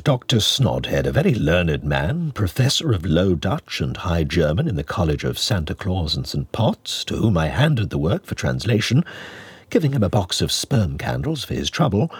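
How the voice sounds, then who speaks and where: A man reading a classic novel as an audiobook narrator, in a measured, expressive, mock-scholarly manner. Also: fairly low pitch, slightly rough, dry recording. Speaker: audiobook reader.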